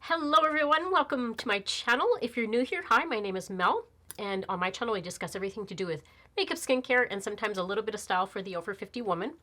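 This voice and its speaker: A woman's voice: medium pitch, fast, bright, forceful tone. A woman in her fifties with a slightly nasal voice from a cold, chatting casually on camera as a beauty vlogger, rambling with fillers and restarts. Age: 50s. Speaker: beauty YouTuber. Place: small indoor room, plain backdrop.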